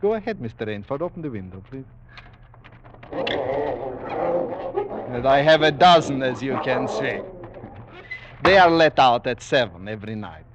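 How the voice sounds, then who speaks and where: An actor playing a courtly, menacing aristocratic general who hunts men for sport, in 1940s radio drama style. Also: male voice, mid-range voice, lively intonation, a little fast, very noisy, lightly acted. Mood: genteel menace. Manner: courtly, menacing politeness with soft chuckles. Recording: dull vintage 1940s radio recording.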